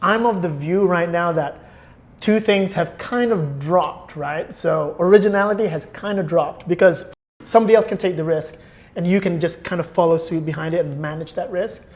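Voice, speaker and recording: A man speaking as a guest in a relaxed, conversational podcast discussion. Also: high-pitched, moderate pace.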